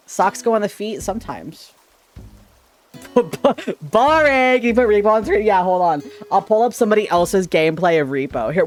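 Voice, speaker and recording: A man chatting casually on a livestream with a slightly shrill, sharp-sounding voice, rambling playfully and tripping over his words. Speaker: streamer. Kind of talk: casual livestream chat with viewers. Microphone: desk microphone.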